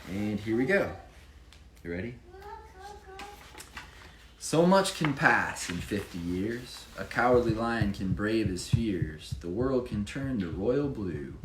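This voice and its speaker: Deep-voiced man reading aloud, very lively intonation. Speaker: children's book author. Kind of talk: expressive read-aloud of a rhyming picture book with informal asides. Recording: echoey room, faint background noise.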